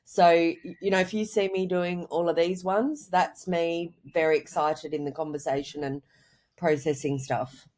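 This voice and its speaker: A woman with a cold, sounding croaky, answering interview questions over a video call in a reflective, rambling way. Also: on the low side, steady tone, moderate pace, darkish tone. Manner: reflective, rambling with many "you know" and "I guess". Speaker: guest, writer and mother. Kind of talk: candid conversational interview answers.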